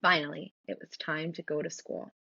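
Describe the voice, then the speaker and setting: A woman reading a children's storybook aloud in an expressive narrating style. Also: low voice, normal speed, creaky, husky, dry recording, highs cut off. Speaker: storybook reader.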